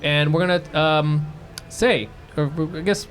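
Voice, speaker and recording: A man's voice: on the high side, fairly even intonation, measured pace. A man co-hosting a podcast, chatting casually and joking, often laughing. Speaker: podcast co-host. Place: live show with audience.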